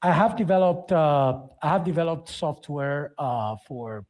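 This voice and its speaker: Halting middle-aged man. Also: fairly high pitch, expressive, darkish tone. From Dominican Republic. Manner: halting, repeats words and phrases. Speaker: conference speaker, software developer. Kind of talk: informal tech presentation.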